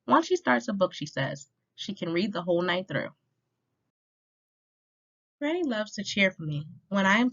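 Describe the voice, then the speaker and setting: A woman reading a children's rhyming picture book aloud in an expressive, clearly paced way, as a storytime reader. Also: mid-range voice. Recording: dull lo-fi sound.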